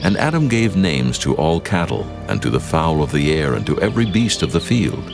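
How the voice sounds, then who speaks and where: Male narrator: bass, gravelly, solemn scripture storytelling over a music bed. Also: very animated, fairly quick. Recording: music underneath.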